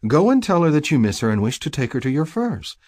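A man's voice: a little high, big pitch swings, fast, darkish tone, dry recording, clean studio sound, pitched above the performer's own voice. A man voicing a bossy, blunt young sister in an audiobook, lecturing in stiffly formal phrases and commands. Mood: bossy, exasperated.

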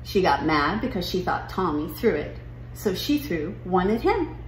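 A woman's voice: fairly low pitch, very animated, normal speed. Nonchalant young schoolgirl, acted by a woman. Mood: matter-of-fact. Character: nonchalant young schoolgirl recounting a farm trip. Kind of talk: children's picture book read-aloud. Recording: roomy living room with noise.

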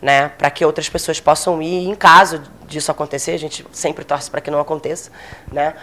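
A woman's voice: deep voice, fairly even intonation, brisk, fairly bright tone. A woman in her thirties from Brazil, a military officer giving a conversational lecture into a handheld microphone in a small room, with frequent fillers. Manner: conversational, many fillers, gestures while speaking. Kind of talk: informal lecture. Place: small meeting room, indoors.

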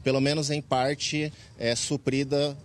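A man's voice: medium pitch, little pitch movement, measured pace, slight background noise. A man in his forties with a Brazilian accent, answering a live TV interview outdoors into a reporter's handheld mic. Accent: Brazilian Portuguese. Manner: earnest, explanatory, many fillers. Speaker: interviewee, club president. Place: outdoors in a park under a tent.

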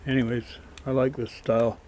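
A man giving a casual show-and-tell as a toy collector, low-key and matter-of-fact, on an amateur camera microphone. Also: level tone, normal speed, a little mellow, a little hiss or hum. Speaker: toy collector showing his finds.

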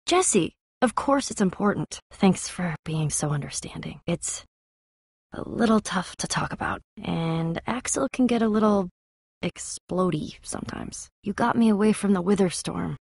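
Casting: tough young game heroine, blunt, loyal and wry, husky with a gravelly edge, dry close recording. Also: female voice, fairly low pitch, moderate pace, darkish tone, no room sound. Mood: uneasy, confiding. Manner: blunt, casual, earnest. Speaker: game voice actress. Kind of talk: animated adventure game dialogue.

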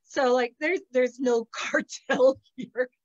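A middle-aged woman on a video call from an outdoor terrace, a little sniffly, chatting casually and telling animated stories with frequent laughter. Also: sits high, slow, muffled recording. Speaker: psychic channel host. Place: outdoor terrace with potted plants. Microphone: laptop webcam on a video call.

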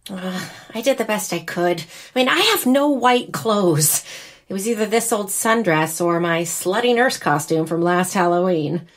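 Young bride played by a woman, American, mid-pitched, wry yet wistful, naturalistic radio play. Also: lively intonation, measured pace, lightly acted. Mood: wry, chatty. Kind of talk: naturalistic audio drama acting.

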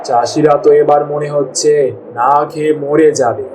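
A man's voice: on the high side, steady tone, moderate pace, a little mellow, lightly acted. A man playing a poor, worried village farmer and tender father in a cartoon, with plain, sighing rural speech. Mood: worried, resigned. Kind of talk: cartoon voice acting. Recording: distant mic, clear rain noise.

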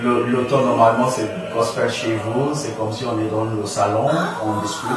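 A middle-aged man hosting an informal radio interview, feeling his way through sentences with halting phrasing. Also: mid-range voice, level tone, over music. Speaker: radio talk show host. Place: small radio studio room, indoors. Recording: very echoey room, distant, faint noise.

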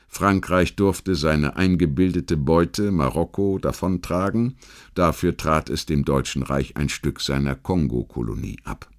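A man with a deep, low voice narrates a scripted history documentary in a standard German accent. His reading is measured and rhetorical, placing deliberate weight on key words in the manner of a literary audiobook.

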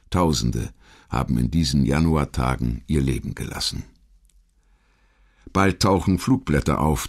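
A man reading a scripted historical documentary narration in a measured, dramatic way. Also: extremely low pitch.

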